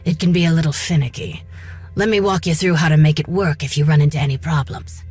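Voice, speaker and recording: A woman's voice: sits low, fairly even intonation, quick pace, a little mellow, slightly rough, no echo. A woman playing a determined, blunt young rebel fighting for her home, urgent and no-nonsense, in a video game cutscene. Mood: earnest, defiant.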